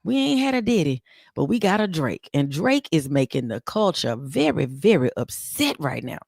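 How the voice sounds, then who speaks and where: Lively, animated woman host. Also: sits low, normal speed, creaky, breathy edge, dry recording, clean studio sound. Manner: animated, folksy Southern talk. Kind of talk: casual morning show chat.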